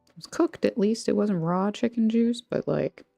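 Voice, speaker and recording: An American woman chats casually with her viewers in a lowish voice full of vocal fry. She rambles playfully and pokes fun at herself. The sound is close and dry, with music playing behind her. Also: moderate pace, warm tone, no echo, lo-fi sound. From Texas, United States. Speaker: craft livestream host. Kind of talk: casual chat with viewers while crafting. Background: background music.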